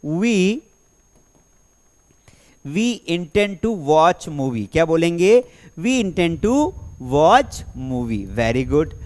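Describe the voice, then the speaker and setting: A young man teaching spoken English, asking the class questions and slowly repeating example sentences word by word. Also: on the high side. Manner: energetic classroom delivery, slow dictation of example sentences; asks rhetorical questions and repeats answers. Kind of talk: spoken English lesson in Hindi.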